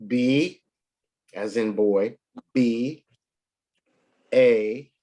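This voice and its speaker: A man tutoring a child in English, patient and a little hoarse from a cold, often clearing his throat. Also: mid-range voice, extremely slow, warm tone. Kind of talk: online one-to-one lesson.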